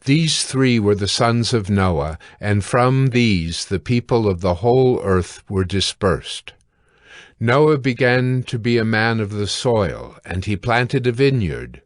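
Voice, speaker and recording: Slow, measured male scripture narrator. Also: fairly low pitch, expressive, no room sound, clean studio sound. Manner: measured, sober.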